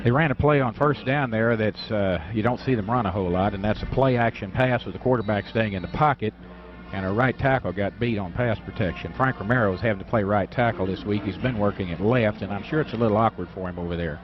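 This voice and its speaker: Low-pitched man giving football color commentary. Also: fast, over music. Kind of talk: conversational football analysis. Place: stadium broadcast booth. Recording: dull radio broadcast sound.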